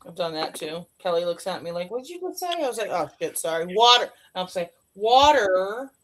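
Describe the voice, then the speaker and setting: A woman co-hosting a casual live chat, tossing in quick joking asides. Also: fairly low pitch, big pitch swings, a bit bright, slight background noise. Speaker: co-host.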